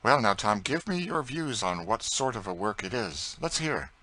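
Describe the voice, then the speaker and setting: A man voicing a melancholy, wealthy gentleman who talks dryly and teasingly. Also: on the low side, very animated, normal speed, voice pushed up, full character voice, rough recording. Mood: wry. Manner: dry, teasing.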